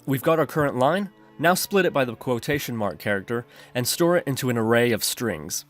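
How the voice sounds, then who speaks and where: Male tutorial narrator, mid-pitched, methodical step-by-step delivery, music underneath. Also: expressive, a little fast, a bit bright. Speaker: programming tutorial host. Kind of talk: instructional screencast narration. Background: background music.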